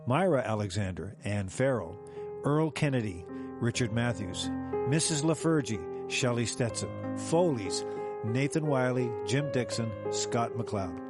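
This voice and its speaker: A male announcer reads out credits in scripted style. His voice is mid-pitched over loud plucked guitar music. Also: expressive, unhurried.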